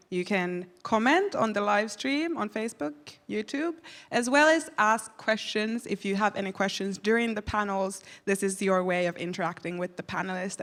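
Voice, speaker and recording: A woman's voice: medium pitch, a bit bright. A woman opening a live online event, upbeat and encouraging viewers to take part. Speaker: event organizer.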